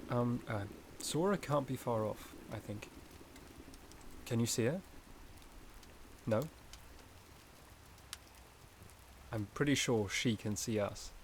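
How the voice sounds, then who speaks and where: A man playing a gentle, shy, caring forest companion in a soft-spoken ASMR roleplay, with a hesitant, slightly stammering delivery. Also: on the low side, background noise, natural voice.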